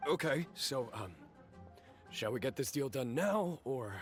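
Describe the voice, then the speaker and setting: A man's voice: medium pitch, expressive, measured pace, close to an everyday voice. A man voicing a sheepish, nervous swindler of a merchant in an anime game, hesitant and meek. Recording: music behind the voice.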